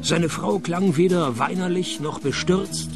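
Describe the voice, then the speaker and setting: An actor playing a cynical private detective who narrates a radio play in a dry, sardonic tone. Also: male voice, sits high, measured pace, music in the background. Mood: deadpan. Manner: deadpan, sardonic.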